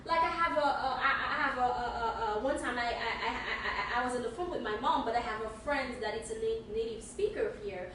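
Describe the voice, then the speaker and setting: Fast-talking woman, high voice, echoey room. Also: a bit bright, recorded from a distance, slight background noise. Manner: fast talker, stammers and restarts. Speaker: podcast co-host. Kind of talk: casual chat.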